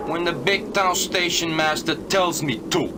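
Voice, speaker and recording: An actor playing a bored small-town station clerk who thinks himself a chess grandmaster, in an adventure game. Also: male voice, a little high, measured pace, noisy background, close to an everyday voice. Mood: smug.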